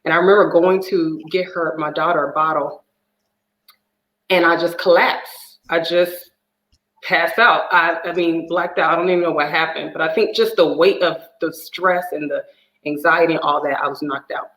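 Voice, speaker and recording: A woman's voice: low voice, steady tone, normal speed, fairly bright tone, a little hiss or hum. Woman guest, divorce coach, sharing her story with feeling; roomy echo around her voice. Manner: earnest, emotional storytelling. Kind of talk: personal testimony in a talk show.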